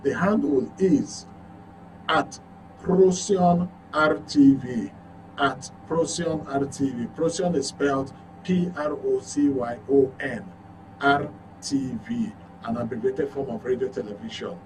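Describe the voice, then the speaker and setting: A man's voice: mid-range voice, slow pace, slight background noise, rough recording. A Nigerian man hosting a live radio phone-in show in a presenter's style. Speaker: radio call-in show host. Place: radio studio.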